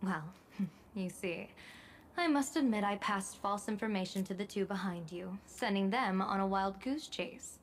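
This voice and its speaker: A woman's voice: medium pitch, a little slow, slight background noise, close to an everyday voice. A woman voicing a serene, wily female general and seer in a video game, poised and playfully formal. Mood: teasing. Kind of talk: dramatic video game voice acting. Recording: music behind the voice.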